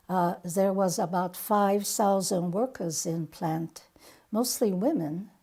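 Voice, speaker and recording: A woman voicing a grave older Japanese bomb survivor in a dramatic monologue, with a Japanese accent and halting, broken English. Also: medium pitch, warm tone. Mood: somber, remembering.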